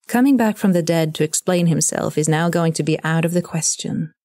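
Woman narrator reading a scripted story, low mellow voice, bit of music under. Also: brisk, no room sound.

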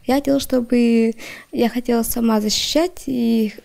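A teenage girl gives halting, hesitant answers in a high, gravelly voice. Her tone is dark and mellow. She is interviewed in a bedroom. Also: steady tone. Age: teens. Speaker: schoolgirl interviewee. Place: bedroom indoors.

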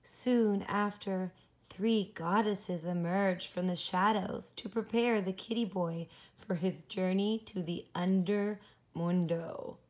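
A woman reading a children's story aloud, deliberate and explanatory, with simple asides for young listeners. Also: medium pitch, fairly even intonation. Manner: slow, deliberate, explains pictures and words to children.

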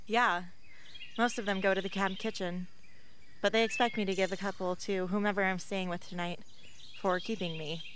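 A woman's voice: medium pitch, steady tone, normal speed, background noise, natural voice. Woman playing a guarded, defiant young female rebel. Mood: wry. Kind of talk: radio drama acting.

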